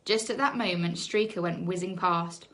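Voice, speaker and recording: A woman teacher reading a children's book aloud in an animated storybook style, leaning on key words with dramatic emphasis. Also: fairly low pitch, normal speed.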